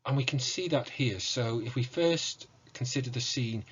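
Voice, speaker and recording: A man in his forties giving an academic lecture in a measured, formal, scholarly way, recorded at home on a computer mic. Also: mid-range voice, a bit bright, husky, dull audio. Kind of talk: academic lecture on video game music. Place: book-lined room at home, indoors.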